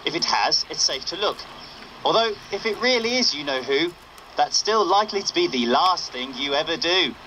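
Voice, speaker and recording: A rebel radio correspondent in a game, cheeky and dryly joking. The voice is high and bright, swinging in pitch, over faint noise. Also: male voice, lively intonation, normal speed, poor audio. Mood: wry, joking. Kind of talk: broadcast radio banter, scripted game dialogue.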